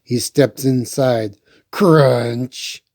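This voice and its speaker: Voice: medium pitch, very slow, a little mellow. A storytime host reading a picture book aloud and adding playful, rambling, chatty asides.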